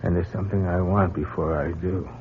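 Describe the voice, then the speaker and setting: An actor playing a bitter, jealous, desperate astronaut with a rough, breathy voice in a radio melodrama. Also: male voice, low-pitched, fairly even intonation, fairly quick, a little hiss or hum, natural voice. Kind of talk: melodramatic radio drama acting. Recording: muffled lo-fi old radio recording.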